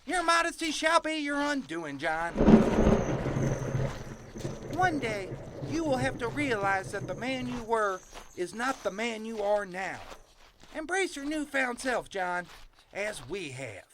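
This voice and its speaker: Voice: medium pitch, sing-song, slow pace, long pauses, very noisy. A performer voicing a precocious young farm boy in a comic western audio drama, mixing folksy talk with grandiose speech. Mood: earnest, philosophical.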